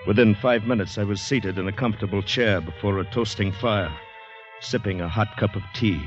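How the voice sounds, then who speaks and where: A man's voice: fairly low pitch, a little fast, soft background music, natural voice. A man playing an anxious young soldier recovering from shell shock, hesitant and polite, in 1940s radio drama style. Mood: narrating. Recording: dull, roomy old radio recording.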